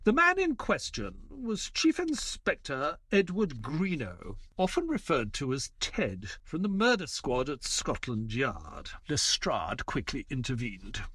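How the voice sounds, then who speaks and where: An actor playing an earnest male doctor who narrates an audio drama as his memoir, with expressive, dramatic delivery. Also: medium pitch, unhurried, breathy edge, dry recording, heavily acted. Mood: grave, storytelling.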